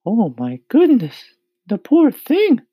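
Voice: mid-range voice, wildly swooping pitch, takes it slowly, slightly rough, dry recording, highs cut off, voice pushed up, heavily acted. A performer voicing a kindly, motherly female ladybug in a storybook, reassuring and gushing. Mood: pitying.

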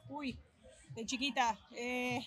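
Woman interviewee, slightly high voice, casual and playful. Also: steady tone, slow pace.

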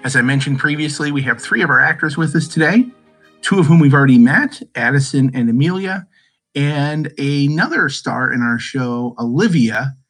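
A man hosting an interview podcast, speaking in a measured, encouraging way to his guests. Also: fairly high pitch, expressive, a bit bright, soft background music. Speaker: podcast host. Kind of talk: podcast interview with school play actors.

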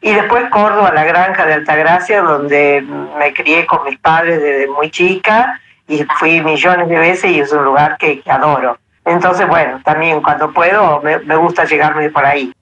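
A woman's voice: low voice, measured pace, a bit bright, muffled recording. An Argentine woman of eighty-two telling stories casually as an interview guest, with an easygoing, anecdotal manner. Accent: Argentine Spanish.

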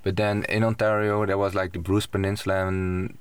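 A man's voice: low-pitched, little pitch movement, brisk, smooth, no room sound. A man with a Dutch accent, a native Dutch speaker, talking casually as an interview guest. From Netherlands. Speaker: interview guest, van traveler. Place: inside a camper van. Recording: slightly distant microphone.

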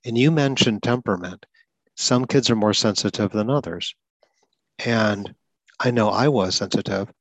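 A man with a fairly low voice talks earnestly and explains things step by step. Now and then he repeats a word, as a person who stutters. The sound is dry and close to the mic. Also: moderate pace, husky, dry recording, rough recording. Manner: earnest, explanatory, occasional repetitions. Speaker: podcast host. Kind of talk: advocacy talk about stuttering.

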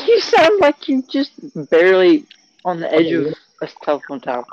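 A man's voice: high-pitched, wildly swooping pitch, moderate pace. A young man casually teasing his friends as a podcast co-host over an online call. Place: remote voice call. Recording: dull lo-fi online call audio.

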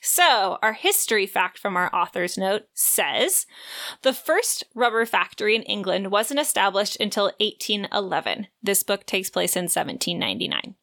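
A woman's voice: mid-range voice, sing-song, moderate pace, fairly bright tone. A woman co-hosting a book podcast, moving between chatty discussion and reading from prepared notes. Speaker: podcast co-host. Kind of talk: book discussion, part read synopsis.

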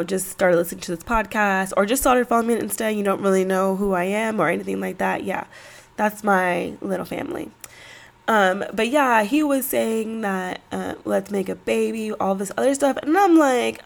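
Stuffy-nosed woman with a gravelly edge. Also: mid-range voice, normal speed. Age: 30s. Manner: chatty, rambling, slangy, laughs between thoughts. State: stuffy from a cold. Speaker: podcast host. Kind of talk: casual solo podcast chat.

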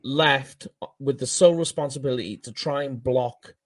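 A Welsh man with a Welsh accent co-hosting a fan podcast, talking football in a casual, animated way and laughing at himself. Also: mid-range voice, normal speed, no room sound. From Wales. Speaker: podcast co-host. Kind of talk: casual sports chat.

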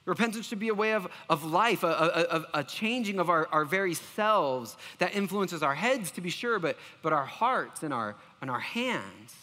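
A man's voice: high-pitched, lively intonation, moderate pace, few pauses, sharp tone, long reverb tail. A man preaching a sermon as pastor, earnest and self-deprecating, often restarting his phrases.

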